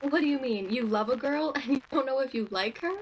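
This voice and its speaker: Woman playing a high-voiced, kindly coworker. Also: a little fast, slight background noise, low-quality recording. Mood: curious, reassuring. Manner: soothing, inquisitive. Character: kindly, calming confidant and shop coworker. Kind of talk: virtual radio play acting.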